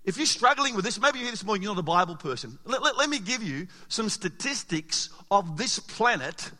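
High, bright-voiced preacher in a reverberant hall. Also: male voice, brisk. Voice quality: slightly raspy. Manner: animated, emphatic preaching with rhetorical questions. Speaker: pastor. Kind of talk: sermon. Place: church hall.

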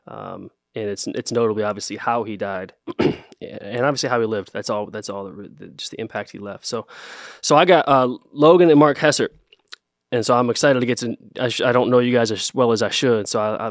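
A man's voice: mid-range voice, no echo. Dry-sounding man hosting a chat. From Ohio. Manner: friendly, rambling, restarts often. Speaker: podcast host. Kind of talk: casual conversation.

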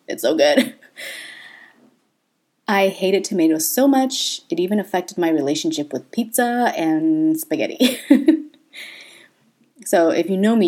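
A woman's voice: deep voice, darkish tone. A woman in her thirties, born in Thailand, talking candidly as a podcast host and laughing often. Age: 30s. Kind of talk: personal podcast monologue.

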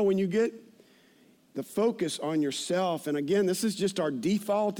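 A man in his fifties preaches in a notably high-pitched voice. His delivery is informal and conversational, like someone telling personal stories from the pulpit, and he often repeats phrases to drive them home. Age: 50s. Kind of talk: conversational sermon with personal anecdotes.

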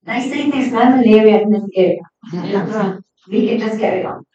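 A woman's voice: mid-range voice, moderate pace, dark tone. A woman preacher delivering an emphatic, exhorting sermon to a congregation. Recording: echoey hall, distant, slightly dull sound.

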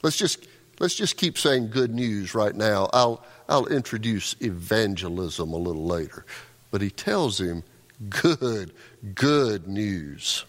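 A man's voice: on the low side, animated, slow pace. A male pastor delivering a conversational sermon, often repeating words as he restarts a thought. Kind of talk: sermon with scripture reading. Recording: long hall reverb.

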